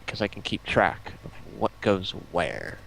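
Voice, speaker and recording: A man's voice: low-pitched, lively intonation, a little slow, a bit bright, poor audio. A man chatting casually as a guest on a livestream. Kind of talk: casual chat. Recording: clear background noise.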